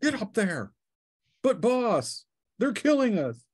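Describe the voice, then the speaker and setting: A man's voice: sits high, very animated, slow, breathy edge, pitched above the performer's own voice, heavily acted. A man voicing curt, barking enemy fighters in a tabletop fantasy game. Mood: urgent. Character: barking male enemy fighters and their bullying boss.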